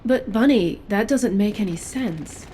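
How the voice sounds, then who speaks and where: An actress playing a stern but quietly caring female special forces agent in video game dialogue, measured, dry and matter-of-fact. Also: medium pitch, a little hiss or hum, lightly acted, poor audio.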